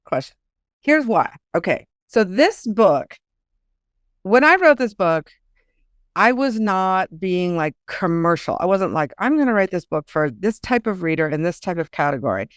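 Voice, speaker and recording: A woman's voice: on the low side, sing-song, measured pace, no echo, clean studio sound. A woman speaking as a guest author in an interview, explaining things in an informal, conversational way.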